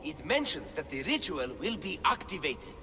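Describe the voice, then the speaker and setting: An actor playing an eager, excitable scholar of ancient texts in a game cutscene, expressive and given to dramatic pauses. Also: male voice, fairly high pitch, in a large hall, exaggerated voice. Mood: excited. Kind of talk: video game cutscene acting.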